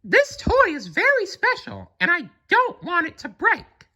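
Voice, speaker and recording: A very high, squeaky falsetto belongs to this childlike cartoon mouse played by a woman. He gushes and exclaims with bright energy, swooping up and down like an excitable storybook hero. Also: unhurried, heavily acted. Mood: worried scolding. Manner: gushing, exclaiming.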